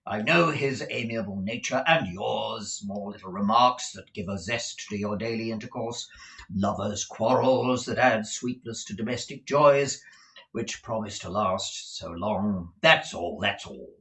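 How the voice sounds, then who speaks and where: A reader voicing a cynical, hard-hearted businessman with a rough, bitter voice and a sardonic manner, in a storybook. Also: medium pitch, measured pace, fairly bright tone, low-quality recording. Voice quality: rough, harsh.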